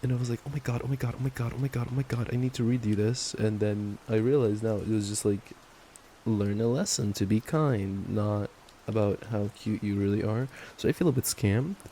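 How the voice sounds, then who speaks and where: Young guy doing cuddly boyfriend asmr, soft dark tone, casual and playful, bit of rain in the background. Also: lowish voice, fairly quick. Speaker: ASMR roleplayer playing a boyfriend. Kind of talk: intimate ASMR boyfriend roleplay with bedtime story reading. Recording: steady rain noise. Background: rain sounds.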